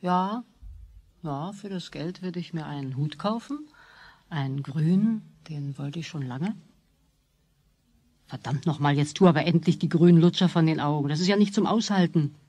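An actor playing an exasperated, impatient grumbler in a German children's radio play. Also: male voice, fairly high pitch, moderate pace, mellow, slightly rough.

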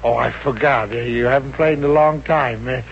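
A man's voice: medium pitch, steady tone, moderate pace, creaky, lightly acted. An American actor playing a short-tempered, blustering businessman obsessed with golf in a 1950s radio comedy. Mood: eager, pleased. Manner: blustering, stammering when flustered. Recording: muffled, noisy old radio recording.

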